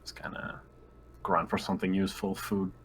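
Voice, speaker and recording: Deep-voiced man chatting over a game. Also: flat delivery, moderate pace, a bit bright, a little hiss or hum. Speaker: tabletop game player. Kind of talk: casual game chat.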